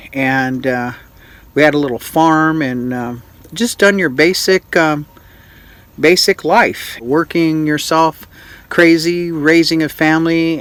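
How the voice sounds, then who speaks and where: A man in his sixties from Utah hosting a casual question-and-answer vlog, speaking in a folksy, unhurried way with frequent pauses and filler sounds. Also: mid-range voice, fairly bright tone. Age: 60s. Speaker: vlog host.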